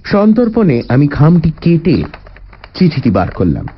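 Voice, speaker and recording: A man narrating a scripted literary audio story in an expressive storytelling manner. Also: on the high side, a little slow, breathy edge. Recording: slightly dull recording under loud music. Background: background music.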